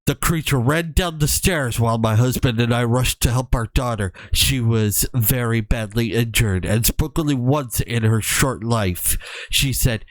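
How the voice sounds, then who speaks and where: A man reading a horror story aloud in a casual, halting way, with wry joking asides. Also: mid-range voice, no room sound, rough recording.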